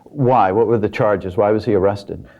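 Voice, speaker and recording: A man hosting a television talk show, asking measured, clarifying interview questions. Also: fairly low pitch, muffled recording. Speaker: host.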